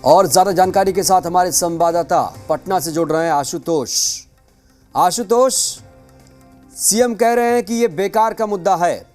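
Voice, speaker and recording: Higher-pitched emphatic male news anchor. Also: darkish tone. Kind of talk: news presentation. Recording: music bed behind voice. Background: news music.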